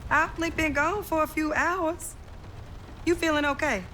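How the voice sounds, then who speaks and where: An actress playing a busy, caring middle-aged mother in a video game, brisk and motherly. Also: high-pitched, fairly even intonation, sharp tone, slight background noise, poor audio. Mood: caring, casual. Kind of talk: cinematic video game acting. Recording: music behind the voice.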